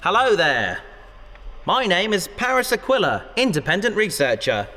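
A man acts a bookish, mild-mannered space researcher, polite and a little hesitant. His pitch is on the high side and very lively. The sci-fi drama recording has long cave reverb and faint noise. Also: moderate pace, exaggerated voice. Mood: cautious, polite. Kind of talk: sci-fi audio drama acting.

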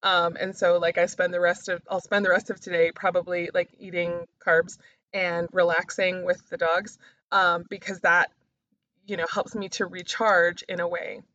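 A woman speaking as a coach and podcast host, sharing personal reflections in a candid, confessional way, halting and stressing key words. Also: on the low side, level tone, a bit bright, no echo, dull audio. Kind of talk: personal reflective talk to camera.

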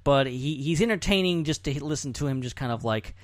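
A man's voice: mid-range voice, expressive, fairly quick, no echo, clean studio sound. A man hosting a podcast in casual chat, talking in an informal, jokey, rambling way. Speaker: podcast host.

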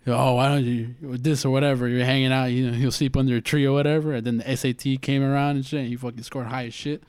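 A young man in his twenties with a high voice, chatting casually and profanely as a podcast co-host. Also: flat delivery, a little mellow, clear, resonant tone, no echo, studio quality. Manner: rapid, profane storytelling. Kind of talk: casual chat.

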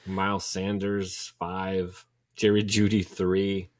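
Low-voiced laid-back man. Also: monotone, slow, dry recording, studio quality. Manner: laid-back, says bro and dude. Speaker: podcast co-host. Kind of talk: casual chat.

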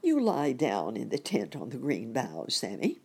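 A woman voicing a kindly, wise gentleman rabbit in a storybook, courteous and gently instructive. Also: very deep voice, wildly swooping pitch, unhurried, slightly rough, full character voice. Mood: practical, kindly.

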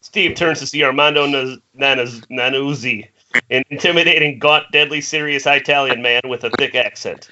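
Bright-voiced man narrating a screenplay. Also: medium pitch, fairly even intonation, moderate pace. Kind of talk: reading screenplay stage directions. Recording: muffled internet call, faint noise.

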